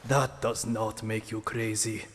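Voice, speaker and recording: A man playing an aged rat sensei and stern, loving father in an audio drama, speaking formally and gravely. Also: fairly low pitch, little pitch movement, unhurried, a little hiss or hum, natural voice. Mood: grave, sorrowful. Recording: long reverberant hall echo.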